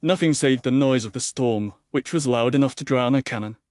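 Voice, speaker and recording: Man playing clear-voiced, earnest troubled gentleman. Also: medium pitch, a little fast, dry recording, voice pushed up, lightly acted. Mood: earnest, insistent. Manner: formal, emphatic. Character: Sir Alastair, earnest, troubled gentleman under questioning. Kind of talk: audiobook character dialogue.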